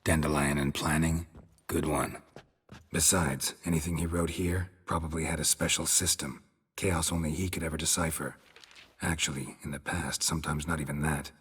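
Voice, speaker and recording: A man voicing a stoic, world-weary monster hunter in a video game, terse and deadpan with a dryly sardonic streak. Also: very deep voice, moderate pace, slightly rough, heavily acted. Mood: dry, mocking. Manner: terse, deadpan, clipped sentences. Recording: loud music behind the voice.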